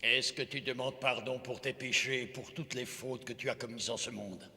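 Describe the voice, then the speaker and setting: A fervent, obsessed archdeacon, cavernous reverb. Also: male voice, medium pitch, fairly even intonation, moderate pace, pushed and bright. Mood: stern, menacing. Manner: sententious, fervent, dramatic. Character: obsessed, pious, cruel male archdeacon. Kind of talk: theatrical animated film dub. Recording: long hall reverb, music underneath.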